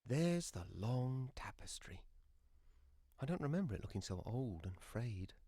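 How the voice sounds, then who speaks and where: An actor playing an earnest, musing seventeen-year-old youth in a scripted fantasy game. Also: male voice, mid-range voice, sing-song, a little slow, dark tone, breathy edge, no room sound, studio quality.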